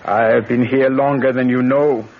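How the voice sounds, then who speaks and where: An actor playing a grave, proud royal exile in a radio drama, with a solemn and formal manner. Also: male voice, medium pitch, steady tone, moderate pace, natural voice. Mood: bitter, accusing. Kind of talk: 1970s radio drama acting. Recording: muffled, noisy old radio recording.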